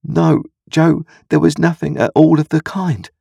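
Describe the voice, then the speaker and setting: Man playing an anxious young boy, raised pitch. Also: flat delivery, a little slow, vocal fry, warm tone, slightly rough, dry recording, clean studio sound, full character voice. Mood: guilty, miserable. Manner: earnest, confessional. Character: anxious, miserable, humble young country boy.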